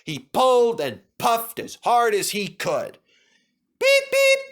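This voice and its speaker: Lively male storybook narrator. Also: sits high, unhurried. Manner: expressive, lively. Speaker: storybook reader.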